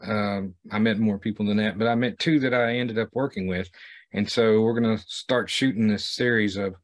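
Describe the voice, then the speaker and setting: Middle-aged male host and explorer, lowish voice, plain-spoken, halting delivery to camera. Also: fairly even intonation. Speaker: video series host and explorer. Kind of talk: vlog narration to camera.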